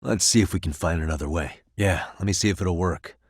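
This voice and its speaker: A man's voice: low-pitched, a little fast, breathy edge, dry recording, studio quality. A man with an American accent voicing a cool, determined private detective in a video game, speaking in a casual, wry, conversational way. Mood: focused, casual. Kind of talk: video game voice acting, naturalistic dialogue.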